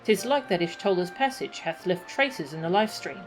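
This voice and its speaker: A woman's voice: fairly low pitch, animated, normal speed, soft background music, natural voice, low-quality recording. A woman reading out a solemn, scholarly man's game lines in formal, old-fashioned speech. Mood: grave. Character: solemn scholarly man speaking archaic English. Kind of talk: reading game dialogue aloud.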